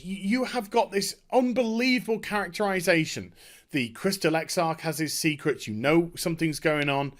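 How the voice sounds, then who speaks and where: A man talking casually and enthusiastically as a gaming video creator, recapping a story in an emphatic, halting, rambling way. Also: on the high side, fairly bright tone.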